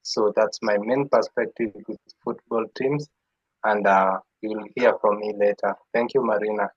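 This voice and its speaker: Husky-voiced young man on a call. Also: fairly low pitch, steady tone, normal speed, no room sound. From Kenya. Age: young adult. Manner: hesitant, with many uhs. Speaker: youth forum participant. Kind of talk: opening remarks on a video call. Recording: dull, dry video call audio.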